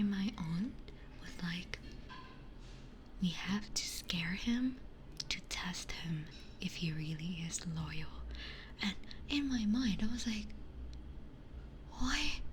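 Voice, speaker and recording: A woman streamer chatting casually in a breathy ASMR whisper into a close binaural microphone, telling stories in a candid, gossipy way. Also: mid-range voice, a little hiss or hum. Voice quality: breathy, mostly unvoiced whisper.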